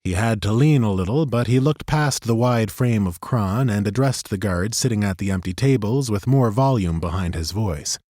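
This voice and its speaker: Low-voiced male audiobook narrator. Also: normal speed, few pauses, warm tone, no room sound, clean studio sound. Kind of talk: scripted fantasy audiobook narration.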